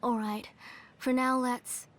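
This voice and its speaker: A woman playing a kindly, motherly middle-aged auntie in a video game, with a gentle, fond manner. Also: a little high, flat delivery, takes it slowly. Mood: fond, welcoming.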